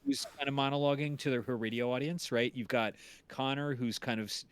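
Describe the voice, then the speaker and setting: A man hosting a casual chat as an audio drama creator, explaining things in a halting, self-interrupting way. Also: medium pitch, steady tone, smooth, no echo. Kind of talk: casual chat about their own show.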